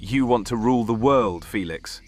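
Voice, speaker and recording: A man's voice: medium pitch, normal speed, music in the background, close to an everyday voice. An actor playing a cheeky, wary male rogue in a fantasy audio roleplay, talking in a casual, teasing and sceptical way. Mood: teasing, doubtful.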